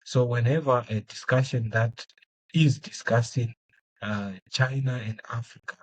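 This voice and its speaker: A man's voice: mid-range voice, fairly even intonation, unhurried, poor audio. A young man from Zimbabwe whose first language is Shona, answering interview questions thoughtfully with many fillers and restarts. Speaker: guest.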